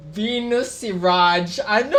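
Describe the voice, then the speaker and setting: A woman's voice: fairly high pitch, fairly bright tone. A woman with a Filipino accent, chatting casually and playfully as she streams a game, exclaiming and teasing. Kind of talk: casual livestream reactions to a game, mixing languages. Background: game music and character voices.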